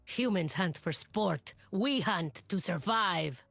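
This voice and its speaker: Proud feral jungle guardian, game voice: lowish, slow, solemn, music beneath. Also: female voice, expressive, creaky, fairly bright tone, breathy edge, dry recording, heavily acted. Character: fierce, protective shapeshifting female cougar huntress of the jungle. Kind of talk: video game character voice acting.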